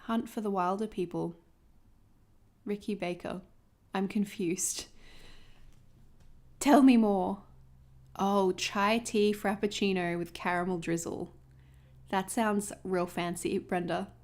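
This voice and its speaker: Quick, chatty Australian woman. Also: medium pitch, fairly even intonation, a little mellow. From northern New South Wales, Australia. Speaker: journaling livestream host. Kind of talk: casual chat reading viewer comments while crafting.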